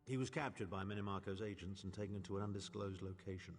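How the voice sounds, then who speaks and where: A man's voice: deep voice, fast, natural voice. Pompous imperial nobleman played by a man, reverberant hall. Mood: grave, scheming. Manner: pompous, sardonic, erudite, disdainful. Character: haughty older male imperial nobleman and chancellor. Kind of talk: theatrical video game dialogue. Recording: long hall reverb, faint music behind.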